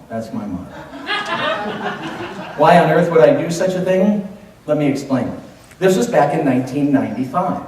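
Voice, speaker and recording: A man of fifty with a Californian American accent tells a humorous story as a contest speech, theatrically, with dramatic pauses and emphasis. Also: mid-range voice. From Los Angeles, United States. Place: indoor room at a speech contest, audience present. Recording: echoey room from far off, faint noise.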